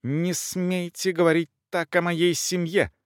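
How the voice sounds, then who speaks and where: Man voicing a high, fretful, offended noblewoman. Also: flat delivery, unhurried, clean tone, no room sound, clean studio sound. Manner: agitated, plaintive. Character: proper, fretful noblewoman wife, offended and plaintive.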